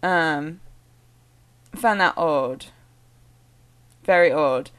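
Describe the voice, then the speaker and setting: A woman from the American Northwest speaking in a put-on British accent, chatting casually as a vlogger in a rambling, halting, amused way. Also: low-pitched, a bit bright. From American Northwest (Oregon). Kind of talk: casual chat to camera with read-out one-liners.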